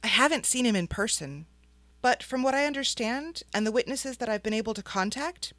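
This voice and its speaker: A woman voicing a guarded young female detective, speaking softly and hesitantly. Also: a little high, animated, fairly quick, no room sound.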